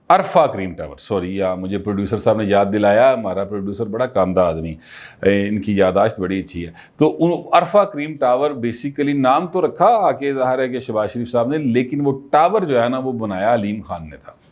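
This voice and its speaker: A man's voice: mid-range voice, darkish tone. Chatty political commentator, man. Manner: conversational, many filler pauses.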